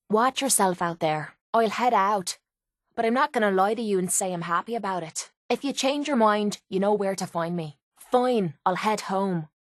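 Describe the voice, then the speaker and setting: A woman's voice: mid-range voice, no room sound, studio quality, lightly acted. A woman playing a brash, foul-mouthed young female cage fighter in a video game, with an Irish accent and a blunt, cocky way of talking. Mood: reluctant, resigned, then hostile, fed up. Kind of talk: video game companion voice lines.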